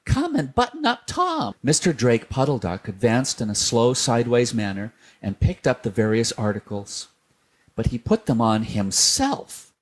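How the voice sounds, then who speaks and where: A man reading a children's storybook aloud, gentle and unhurried. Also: medium pitch, lively intonation.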